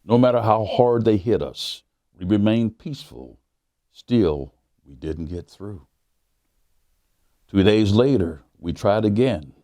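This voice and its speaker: A man, a pastor, reading a children's book aloud in a measured, emphatic way with dramatic pauses. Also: on the low side, animated, warm tone, no echo. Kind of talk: storybook read-aloud for children.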